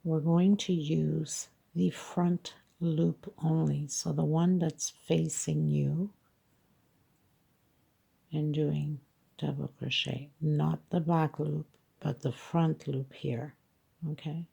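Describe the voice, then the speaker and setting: Woman, congested, gravelly voice, slow patient crochet tutorial, flat delivery. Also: sits low. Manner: slow, patient counting of stitches. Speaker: crochet instructor. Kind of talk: step-by-step craft tutorial.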